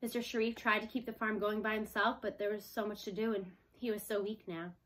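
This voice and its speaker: A woman's voice: medium pitch, little pitch movement, brisk, a bit bright, low-quality recording. A woman reading a children's novel aloud as scripted storybook narration. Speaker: storybook reader.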